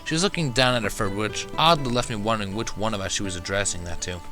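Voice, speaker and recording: A man's voice: on the low side, fast talker, bright, forceful tone, faint music behind. A man narrating a first-person fan fiction story in a wry, dramatic manner.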